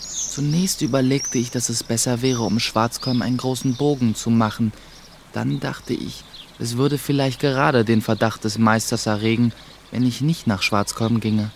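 A male actor as a reflective first-person narrator in a radio play, looking back on his youth. He tells it in measured, scripted storytelling. Noisy ambience sits clearly behind the voice. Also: medium pitch, steady tone, close to an everyday voice. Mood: grave, reflective. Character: grown Krabat, reflective narrator recalling his dangerous youth.

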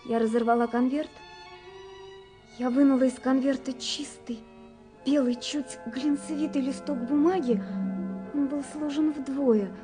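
Dreamy young letter writer, high voice, unhurried, wistful, lyrical radio drama, music behind. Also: female voice, steady tone. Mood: tender wonder. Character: wondering, dreamy young woman writing romantic letters. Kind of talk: radio play, letters read aloud as lyrical monologue. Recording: 1970s radio production with music.

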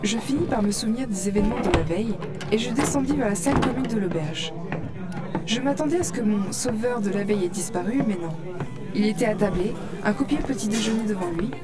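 A woman's voice: mid-range voice, lively intonation, a little fast, loud music behind the voice, close to an everyday voice. A woman playing a curious young gamer who narrates her virtual-world adventure in a composed way for an audio drama. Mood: calm narrating.

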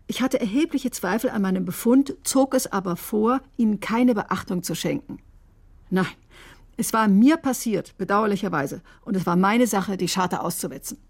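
A woman's voice: mid-range voice, expressive, normal speed. An actress playing a defensive, conscience-stricken woman doctor in a radio drama, recounting events in a precise, clinical way. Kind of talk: German radio drama acting, testimony-like narration.

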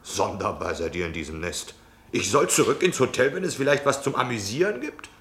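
A man's voice: on the low side, very animated, fairly quick, a bit bright. An actor playing a talkative, nosy, self-important travelling salesman in a German radio play. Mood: indignant, mocking. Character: chatty, pushy, cocksure travelling salesman in knitwear.

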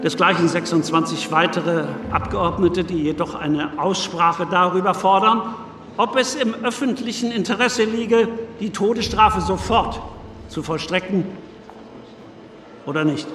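High-voiced formal assembly president. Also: male voice, fairly bright tone, slight background noise. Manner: formal, deliberate. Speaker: actor in a radio drama. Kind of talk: formal proclamation in radio drama. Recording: distant echoing hall, music behind.

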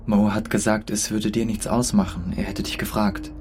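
An actor playing a heartbroken teenage ex-boyfriend in a radio play, awkward and pleading, in a naturalistic style. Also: male voice, lowish voice, level tone, moderate pace, noisy background, close to an everyday voice. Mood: hurt, insistent. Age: young adult.

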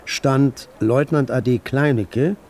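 A man's voice: medium pitch, takes it slowly, darkish tone. A man performing as a grave literary narrator in a radio drama. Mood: grave narration.

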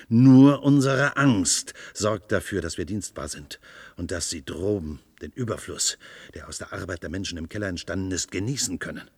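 An actor playing a fanatical demagogue in a German radio play, oratorical and grandiose, stirring oppressed workers to revolt with emphatic, stretched stresses. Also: male voice, low-pitched, darkish tone, breathy edge, dry recording, heavily acted.

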